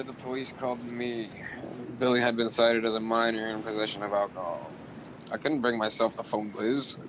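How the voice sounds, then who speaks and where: An actor playing a troubled, reluctant father, narrating a radio play in a measured, literary way. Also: medium pitch, slight background noise, natural voice, poor audio. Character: reluctant, troubled divorced father telling his story.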